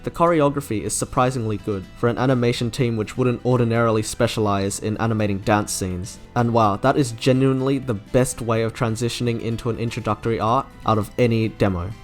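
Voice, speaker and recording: A man's voice: mid-range voice, fairly even intonation, a little fast, few pauses. A young man giving scripted commentary as a video game reviewer, with music playing behind him. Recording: background music under narration.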